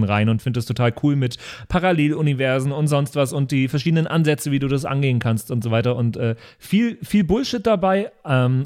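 A man hosting a casual podcast chat, talking in a halting, rambling, enthusiastic way. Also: mid-range voice, darkish tone, clear, resonant tone. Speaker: podcast host.

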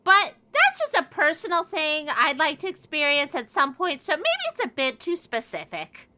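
A woman's voice: sits high, sing-song, moderate pace, bright, forceful tone, full character voice. A woman playing a scatterbrained old keeper of a magic item, matter-of-fact and rambling, in a comedy-horror radio play.

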